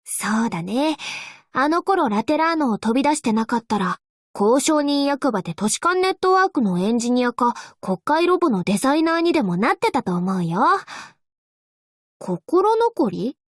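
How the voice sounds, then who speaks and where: A woman playing an upbeat, playful young angel courier girl in a Japanese anime game, with a perky, teasing, expressive delivery. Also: sits high, moderate pace, no room sound, studio quality. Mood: reflective.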